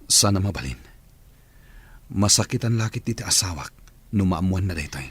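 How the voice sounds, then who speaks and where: A man's voice: deep voice, animated, normal speed, a bit bright, slightly rough, no echo, full character voice. A man playing a mild married man torn by temptation in a radio drama, hesitant and earnest, with an Ilocano accent. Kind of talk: Filipino radio drama acting.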